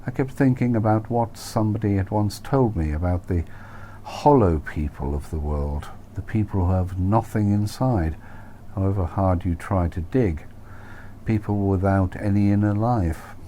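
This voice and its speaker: A man's voice: fairly low pitch, moderate pace, dark tone, a little hiss or hum. A man reading his own first-person novel aloud as an audiobook, in a wry, dry manner.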